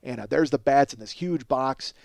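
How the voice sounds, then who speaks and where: A man in middle age delivers a casual comedic monologue as a podcast host, at a medium pitch in a dry, echo-free recording. He is profane and self-deprecating, keeps wandering off on digressions, and gets excitable as he exaggerates for laughs. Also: normal speed, creaky. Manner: exaggerates for comic effect, excitable.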